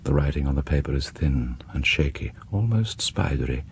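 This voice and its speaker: An actor playing a grave, sinister British narrator of radio horror tales, deliberate and dropping into whispers. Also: male voice, a bass voice, expressive, creaky, heavily acted. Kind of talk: dramatic scripted horror narration. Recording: faintly noisy vintage radio broadcast.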